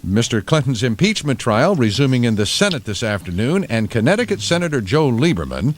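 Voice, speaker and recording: An American man reading scripted radio news, dropping in deadpan asides. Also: mid-range voice, a little fast. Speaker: radio newscaster. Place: radio studio.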